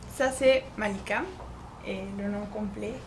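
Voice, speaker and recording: A woman's voice: medium pitch, fairly even intonation, a little slow, reverberant, noisy background. A woman interviewing a guest with short, casual questions. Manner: short friendly prompts.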